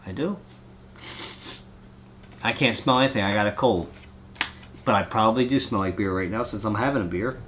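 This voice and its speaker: A man's voice: on the low side, moderate pace, long pauses, fairly bright tone, slight background noise. Congested man chatting through a cold. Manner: rambling, meandering, chatty. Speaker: vlogger reading fan mail. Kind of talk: casual chat.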